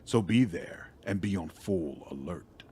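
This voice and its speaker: A man voicing a composed, calculating otherworldly male mentor in a fantasy game, speaking formally and deliberately. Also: low voice, expressive, warm tone, a little hiss or hum. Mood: calm, calculating.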